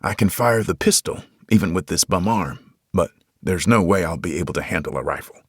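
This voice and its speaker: A man voicing a wounded, resolute tough man who talks gruffly and bluntly. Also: low-pitched, lively intonation, brisk, no room sound, studio quality. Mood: commanding.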